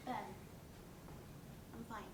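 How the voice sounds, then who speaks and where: A woman's voice: slow, close to an everyday voice. A woman playing a guarded young woman in a naturalistic play reading, understated and clipped. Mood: defensive. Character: guarded, grieving young woman, brushing off concern. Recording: echoey room, distant mic, loud noise.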